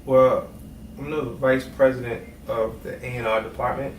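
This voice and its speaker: A man's voice: medium pitch, level tone, normal speed. A man from Trinidad and Tobago, raised in Brooklyn, a music executive talking casually in an interview with a laid-back manner. He is recorded from a distant mic in an echoey room with noise. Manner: laid-back, conversational, many "you know what I mean".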